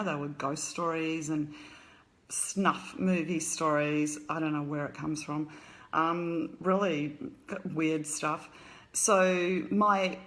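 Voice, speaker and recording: Aussie woman, 50s, low-ish voice, rambly chatty live stream from home, lots of ums and little laughs. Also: fairly bright tone. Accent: Australian. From Australia. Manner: chatty, rambling, greets viewers and laughs often. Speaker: author hosting a live stream. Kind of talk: casual live stream chat. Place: home at night.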